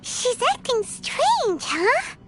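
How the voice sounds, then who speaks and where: A woman voicing a tiny, excitable childlike fairy companion in a video game, chirpy and quick to take offence. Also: very high-pitched, full character voice. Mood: flustered. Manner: chirpy, indignant, speaks of self by name. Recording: music behind the voice.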